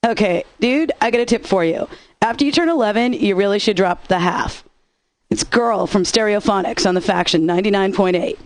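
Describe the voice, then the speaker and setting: A woman's voice: medium pitch, fairly quick, dry recording, natural voice. An actress playing a wry, upbeat alternative-rock radio DJ woman in a video game, introducing songs with sarcastic patter. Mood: playful, wry.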